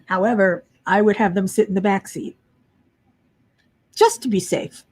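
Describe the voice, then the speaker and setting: A middle-aged woman with a low voice, tired from lack of sleep, chatting casually to viewers as host of a crafting livestream. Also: measured pace, darkish tone. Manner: chatty, muttering while searching for pieces. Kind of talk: casual live chat while diamond painting.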